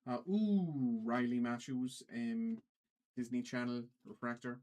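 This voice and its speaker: A man with a medium-pitched voice hosts a casual trading card livestream in a put-on Irish accent. He calls out items in a halting, stop-start way and often repeats his phrases. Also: expressive, darkish tone. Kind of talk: casual livestream commentary, calling out cards.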